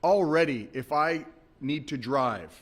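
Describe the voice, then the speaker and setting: Emphatic bilingual lawmaker at a podium. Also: male voice, a little high, a little slow. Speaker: state legislator, committee chairman. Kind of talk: press conference remarks. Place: press conference.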